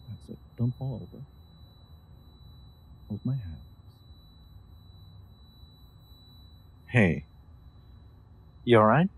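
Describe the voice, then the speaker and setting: A man playing a gentle, solicitous nobleman in an intimate roleplay audio drama, speaking in formal, courteous, slightly hesitant phrases. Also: deep voice, vocal fry, slight background noise, heavily acted. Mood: concerned, gentle. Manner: formal, old-fashioned courteous phrasing, hesitant, soft chuckles. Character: gentle, solicitous nobleman, new master of an automaton.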